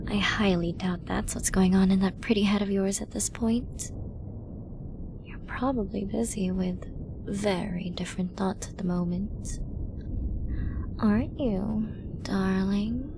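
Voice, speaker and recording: Slow, teasing woman acting a flirty villainess. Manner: slow, teasing, breathy lapses into whispering. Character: flirty, dominant female villain, teasing and possessive. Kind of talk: ASMR roleplay, intimate direct address. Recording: noisy with low rumble.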